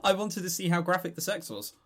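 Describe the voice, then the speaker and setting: A man's voice: sits high, animated, fast, bright, forceful tone. A man co-hosting a podcast, chatting casually and unscripted about games in a joking, teasing way. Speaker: podcast co-host.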